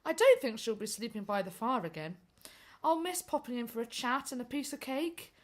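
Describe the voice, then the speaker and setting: A woman's voice: on the high side, sing-song, moderate pace. A woman voicing an eager, wistful young male servant, chattering away in a pantomime radio play. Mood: wistful, excited.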